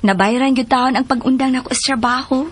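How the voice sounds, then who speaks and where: A young married woman in a Philippine radio drama. Her very high, Cebuano-accented voice is chatty, friendly and affectionate. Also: steady tone, brisk, slightly rough. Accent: Cebuano Filipino.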